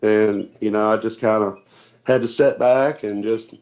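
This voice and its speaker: A man being interviewed casually as a guest over the phone, speaking in a plain, low-key, somewhat hesitant way. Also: fairly even intonation, measured pace, a little mellow. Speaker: guest, tournament kayak angler. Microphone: remote phone call. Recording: dull, band-limited phone call audio.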